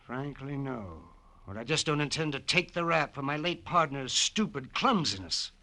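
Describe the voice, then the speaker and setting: A man's voice: on the high side, animated, moderate pace, bright, forceful tone. An actor playing a precise, condescending older male schemer in an old radio crime drama. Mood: cold, smug. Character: scheming older male villain, coolly confessing at gunpoint. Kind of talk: 1940s-50s radio drama acting. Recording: old radio broadcast recording.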